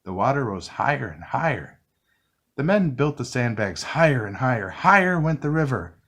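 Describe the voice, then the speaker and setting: A man reading a children's picture book aloud, narrating gently and expressively. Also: mid-range voice, sing-song, moderate pace. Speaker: storybook reader. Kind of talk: children's read-along narration.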